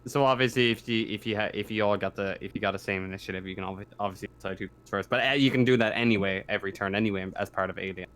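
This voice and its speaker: Halting, casual man running a game. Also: fairly low pitch, steady tone, fairly bright tone, smooth. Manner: halting, repeats phrases, casual swearing. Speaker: game master. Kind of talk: casual tabletop roleplaying narration and rulings.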